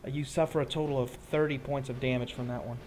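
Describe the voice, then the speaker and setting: A man's voice: medium pitch, fairly even intonation, fast, a little mellow, faint music behind. A man narrating a tabletop roleplaying game as game master, telling the action in a casual, descriptive way.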